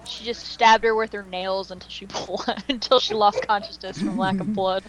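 A woman's voice: mid-range voice, steady tone, moderate pace, a crowd in the background, poor audio. A young woman co-hosting a podcast in casual, conversational chat. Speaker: podcast co-host. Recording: clear background noise.

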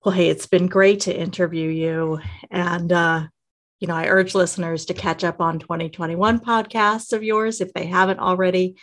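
A woman's voice: on the low side, little pitch movement, normal speed. A woman acting as guest host of a podcast, interviewing in a conversational style.

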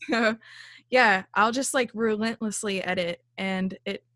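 A young woman with a small, light voice talks about her band in a relaxed interview. She rambles with fillers and laughs often. The sound is dry and close. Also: medium pitch, slow, dry recording. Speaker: band leader and songwriter. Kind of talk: casual interview chat.

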